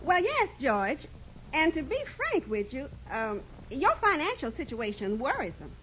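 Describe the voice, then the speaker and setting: A woman's voice: high-pitched, huge pitch swings, unhurried, a bit bright, heavily acted. An actress playing a sweet but practical young woman in a 1940s radio comedy. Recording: dull, noisy vintage radio recording.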